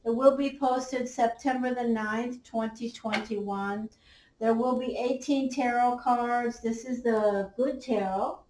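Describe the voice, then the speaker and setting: Casting: tarot reader, woman, raised pitch, slightly hoarse, halting with fillers, roomy sound off the mic. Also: fairly even intonation, darkish tone, recorded from a distance, a little hiss or hum. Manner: slow, halting, many fillers. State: slightly losing her voice. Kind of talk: unhurried tarot reading. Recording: roomy, off-mic, faint ambience.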